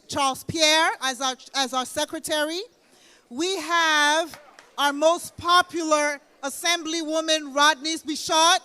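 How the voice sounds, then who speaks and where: Woman reading out board members; slow, bright, methodical. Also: sits high. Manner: methodical, listing names one by one. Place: hotel banquet hall.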